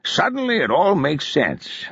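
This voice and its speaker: A man putting on a gruff, mocking mountain captain. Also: fairly high pitch, wildly swooping pitch, measured pace, fairly bright tone, no room sound, highs cut off, pitched above the performer's own voice, exaggerated voice. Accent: heavy mountain accent (fictional). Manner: blunt, coarse, needling. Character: burly scruffy male army captain, mocking and gruff.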